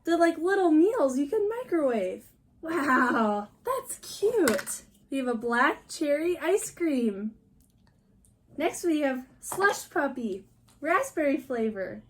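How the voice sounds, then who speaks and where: A woman's voice: sits high, big pitch swings, takes it slowly. A woman narrating a casual toy unboxing for children, using simple phrases and small exclamations.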